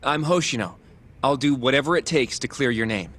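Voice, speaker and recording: Earnest, naive young rookie lawyer played by an actor. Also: male voice, mid-range voice, fairly quick, a bit bright, lightly acted. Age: young adult. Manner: earnest, hesitant, flustered. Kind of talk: English video game dub acting.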